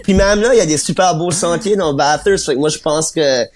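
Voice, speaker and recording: A man with an Acadian French accent, chatting casually as a podcast guest, halting and repeating words, laughing easily. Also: fairly high pitch, very noisy. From Moncton, New Brunswick. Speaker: guest singer-songwriter.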